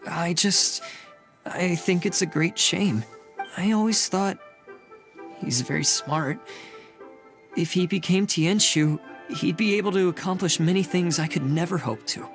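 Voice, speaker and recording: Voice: mid-range voice, a little slow, lightly acted. An actor playing a sensible young colleague in a game, speaking earnestly. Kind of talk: game character dialogue. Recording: game audio with music behind.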